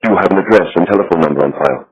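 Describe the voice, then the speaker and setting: A man voicing a calm, determined intelligence officer who speaks in a quiet, firm, persuasive way. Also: deep voice, very fast, dull audio, slight background noise, lightly acted. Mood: insistent.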